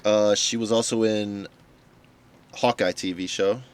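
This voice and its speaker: Deep-voiced young man, slightly raspy. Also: fairly even intonation, measured pace. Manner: laid-back, dry, joking. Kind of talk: casual chat.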